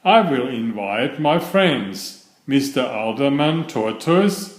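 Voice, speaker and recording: Contented gentleman frog for a storybook, male reader, genteel musing, measured pace, roomy echo. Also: medium pitch, lively intonation. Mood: contented planning. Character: contented gentleman frog planning a fishing trip.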